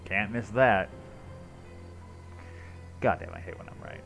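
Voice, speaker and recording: A man's voice: fairly low pitch, unhurried, creaky, fairly bright tone. A man giving casual live commentary as a game streamer, wry and sweary. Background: game music.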